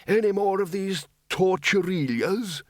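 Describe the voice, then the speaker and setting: A man's voice: sits high, measured pace, no echo, studio quality, pitched above the performer's own voice. A man voicing a very old, frail wizard who rambles with mad cheerfulness. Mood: chatty. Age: older. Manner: chatty, grumbling, mad cheerfulness.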